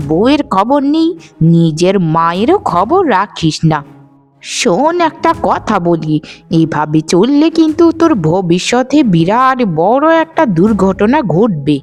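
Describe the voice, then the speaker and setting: A woman voicing an aggrieved elderly village grandmother in a cartoon, plaintive and reproachful. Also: medium pitch. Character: aggrieved elderly village grandmother, scolding her wayward son. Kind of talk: cartoon voice acting in a moral story.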